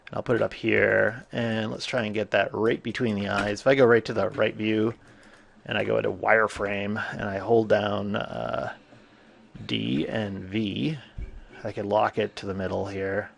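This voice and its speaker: Gravelly man with a stuffy cold. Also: mid-range voice, a bit bright. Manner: casual, thinking aloud with frequent fillers. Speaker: 3D animation instructor. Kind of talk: screen-recorded software tutorial.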